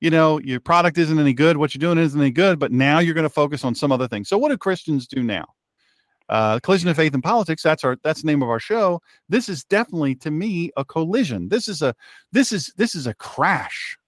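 A man in his fifties hosting an opinionated radio talk show, often repeating words for emphasis in a halting way. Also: on the high side, animated, dry recording, studio quality. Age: 50s.

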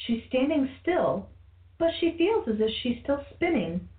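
A woman's voice: high voice, very animated, unhurried. A woman reading scripted narration for a radio play. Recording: phone-like audio with faint music.

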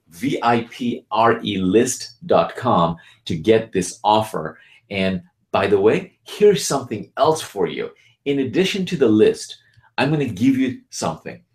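A man from Bangladesh giving an energetic, persuasive sales pitch for his real estate program. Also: echoey room. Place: Tampa, Florida.

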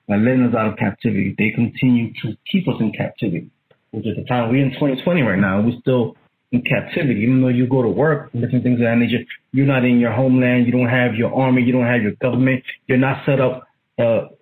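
A man's voice: mid-range voice, phone-quality sound. A man teaching a Bible study in an emphatic, preacherly way.

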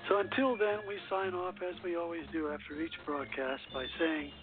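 A man's voice: fairly high pitch, normal speed, music in the background. A man hosting a radio show, reading his scripted links and sign-offs to the listeners. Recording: narrow phone-like line.

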